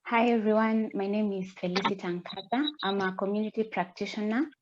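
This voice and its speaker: A woman's voice: medium pitch, steady tone, fairly quick, noisy background. Kenyan woman, muffled audio.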